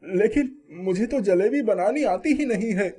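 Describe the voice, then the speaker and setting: A man playing a troubled, remorseful young son in an animated moral story, speaking in a plaintive, earnest way. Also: high-pitched, a little slow. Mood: puzzled, worried.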